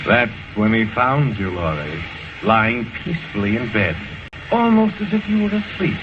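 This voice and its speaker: A man acting as the grim, knowing narrator of an old radio suspense drama, addressing someone in a deliberate, dramatic way. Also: fairly low pitch, big pitch swings, noisy background. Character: male narrator grimly addressing a guilty woman. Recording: dull old radio recording, loud music behind.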